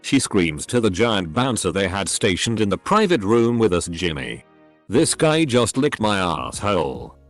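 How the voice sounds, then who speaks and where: A man's voice: lowish voice, big pitch swings, measured pace, soft background music. A man reading out online stories as a scripted narration.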